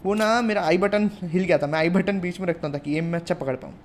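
A man's voice: high-pitched, fast, slight background noise. A young man with an Indian accent giving casual, chatty commentary while playing a mobile shooter, talking to his viewers. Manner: chatty, addresses viewers as guys and brother. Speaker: mobile game streamer.

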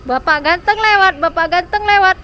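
A woman's voice: extremely high pitch, moderate pace, pushed and bright. An Indonesian woman chatting casually on the go as she films her family with a handheld camera. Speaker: vlogger filming her family. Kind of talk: casual on-the-go chat. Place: outdoors at roadside stall and hotel grounds. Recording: noisy outdoor recording, people and vehicles.

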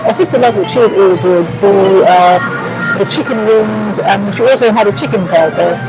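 A woman's voice: medium pitch, normal speed, poor audio. A young adult woman giving a casual review to camera in a restaurant, as a food vlogger. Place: indoors, restaurant booth. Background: music.

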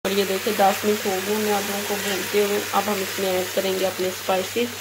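A woman hosting a cooking channel, calmly listing ingredients and giving step-by-step recipe instructions. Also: mid-range voice, very noisy. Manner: plain, measured listing of ingredients. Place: home kitchen. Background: frying and stirring sounds.

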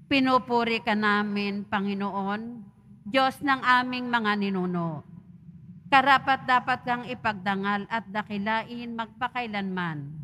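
Slow solemn woman lector in a church. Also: fairly high pitch, pushed and bright, a little hiss or hum. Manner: slow, measured, solemn reading. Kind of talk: liturgical scripture reading and responsorial psalm. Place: large church. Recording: long church reverb.

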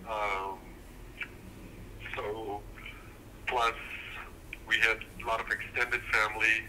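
A man in his fifties from Pakistan speaks over a noisy phone line. His low voice stays flat and sounds bright and sharp. He hesitates and fills pauses as he answers. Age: 50s. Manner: hesitant, many fillers and pauses. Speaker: interviewee. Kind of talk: recorded prison phone interview.

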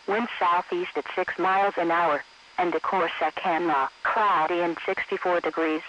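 A man's voice: high-pitched, pushed and bright. A man's synthetic-sounding voice reading scripted weather observations in stilted, clipped phrases. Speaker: weather radio conditions announcer. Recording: muffled, hissy weather radio broadcast.

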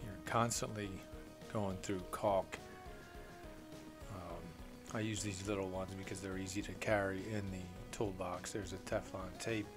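A man's voice: deep voice, level tone, a little slow, over music. A man, a plumbing contractor, walks through his tools off camera, talking plainly and unhurriedly with many "uh" fillers. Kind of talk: off-camera tool walkthrough.

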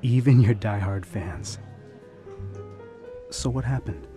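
An actor playing a loyal, protective nightclub boss in a noir radio drama, plain-spoken and quietly affectionate. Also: male voice, on the low side, expressive, takes it slowly, over music. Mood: tender, tentative.

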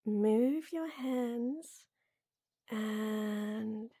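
A woman playing a tall, tender, teasing lady knight in an intimate roleplay audio, coaxing softly. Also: fairly high pitch, very slow, no echo, clean studio sound, exaggerated voice. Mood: flirty, teasing. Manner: soft, teasing, coaxing, with breathy near-whispers.

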